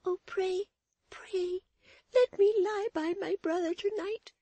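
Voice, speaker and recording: Very high, pleading little girl. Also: fairly even intonation, takes it slowly, dark tone, dry recording, full character voice. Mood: tearful pleading. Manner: earnest, tearful begging. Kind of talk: dramatized Victorian novel reading.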